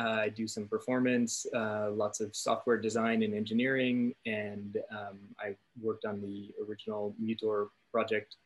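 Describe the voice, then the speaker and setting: A man's voice: fairly low pitch, husky, rough recording. A middle-aged man with an American accent, introducing himself casually on a video call. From California. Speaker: composer and software developer. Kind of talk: informal self-introduction.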